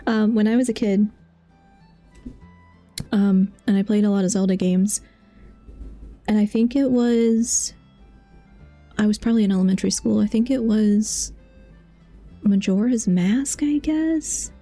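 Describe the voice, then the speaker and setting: A woman's voice: medium pitch, unhurried, pauses often, mellow, soft background music. A woman livestreamer chatting casually while she plays a game, in a rambling, self-amused way. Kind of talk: casual chat while playing.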